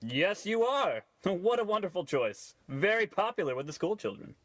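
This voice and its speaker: A man's voice: high-pitched, big pitch swings, normal speed, a bit bright, no echo, exaggerated voice. A man playing effusively grateful, folksy townsfolk in a parody old-time radio play, earnest and admiring. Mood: gushing. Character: gushing, grateful townsfolk, sweetly admiring the crook.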